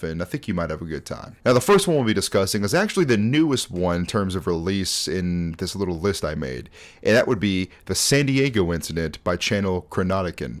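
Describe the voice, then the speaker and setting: A young man in his twenties hosting a casual, conversational video essay, talking informally through scripted commentary. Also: low voice. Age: 20s. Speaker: host.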